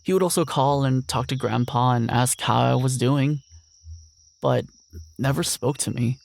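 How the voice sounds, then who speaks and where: A man playing a gravelly, wistful young rancher. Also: medium pitch, a little slow. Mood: resigned. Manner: plain, reflective monologue. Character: earnest, lonely young ranch heir, weary and wistful. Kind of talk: audio drama monologue.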